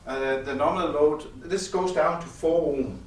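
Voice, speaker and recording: A man who speaks as a gives a halting technical explanation of audio gear as its designer. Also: fairly high pitch. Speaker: loudspeaker designer presenting gear at an audio show. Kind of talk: technical product explanation in conversation. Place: indoor demo room at an audio show. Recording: echoey room, distant mic, faint noise.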